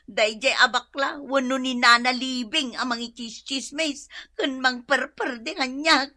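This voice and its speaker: An actress playing a brash, quarrelsome village gossip in an Ilocano-accented radio comedy, loud, excitable and whining. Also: female voice, high voice, unhurried, no echo. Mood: grumbling. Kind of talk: comedic radio drama acting.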